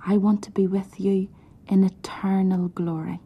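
A woman softly whispering a prayer, hushed and pleading. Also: mid-range voice, slightly rough, muffled recording. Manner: hushed, pleading, slow and even.

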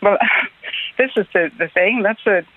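A man's voice: sits high, measured pace, no echo. A middle-aged man from Belfast with a Northern Irish accent, calling in to a radio show, polite and hesitant with many fillers. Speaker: caller. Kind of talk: radio phone-in call. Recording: tinny phone line.

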